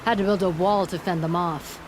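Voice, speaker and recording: Woman doing cocky flirty game blacksmith lady, lowish voice, noisy outdoors behind. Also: steady tone, brisk, close to an everyday voice. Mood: teasing, confident. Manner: teasing, folksy, confident. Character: brash, flirtatious, worldly woman weapons smith. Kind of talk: video game cinematic dialogue. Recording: clear ambient noise behind the voice.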